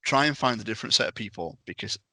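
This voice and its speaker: A man's voice: fairly low pitch, animated, fast talker, sharp tone, no echo. A man talking casually as a panelist in a podcast discussion.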